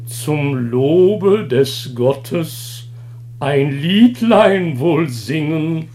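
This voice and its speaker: An actor playing a pompous citizen in a comic Greek chorus, declaiming solemnly in a classic radio play with some noise on the recording. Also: male voice, on the high side, expressive, very slow, darkish tone, faint music behind. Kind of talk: classic German radio play of an ancient comedy.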